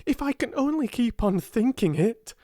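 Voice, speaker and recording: Woman voicing a breathy, high-pitched awestruck little girl. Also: lively intonation, moderate pace, dark tone, no room sound, studio quality. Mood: excited disbelief. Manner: breathy, panting, half-whispered exclamations. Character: young girl, breathless, awestruck and overjoyed with wonder. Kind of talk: storybook character voice in audiobook reading.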